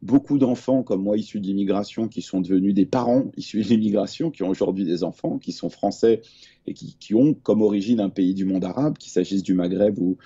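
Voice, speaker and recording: A man in his forties, born in Lebanon, speaking as an author and guest on a panel over a video call in a calm, reflective way. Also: fairly low pitch, a little fast, slightly rough, highs cut off. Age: 40s.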